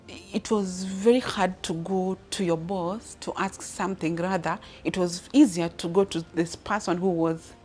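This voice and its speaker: A Kenyan woman in her thirties speaking off the cuff about her career, with many restarts and fillers. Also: fairly low pitch. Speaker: leadership speaker. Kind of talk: personal story, unscripted talk.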